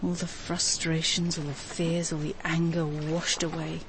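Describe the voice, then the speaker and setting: A woman's voice: low-pitched, flat delivery, unhurried, background noise. An actress playing an intense, self-absorbed woman musing to herself in short phrases in a radio drama monologue. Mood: rapturous.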